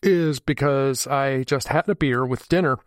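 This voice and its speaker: A man's voice: mid-range voice, a little slow, dry recording. A man co-hosting a casual podcast, laughing often and rambling through stories. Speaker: podcast co-host. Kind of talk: casual chat.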